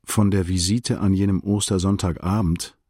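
An actor playing the sober narrator of a German literary radio play, recounting events in a measured, restrained, precise way. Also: male voice, sits low, fairly even intonation, a little mellow, breathy edge, no room sound, clean studio sound. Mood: reflective. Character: sober male friend recounting a sad night in retrospect.

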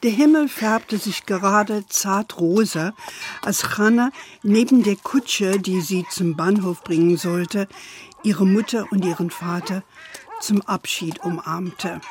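An actress playing an older, indulgent grandmother telling stories in a children's radio play, with a playful, calm manner. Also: medium pitch, slight background noise, lightly acted. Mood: gentle storytelling. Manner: unhurried, sprinkles English endearments.

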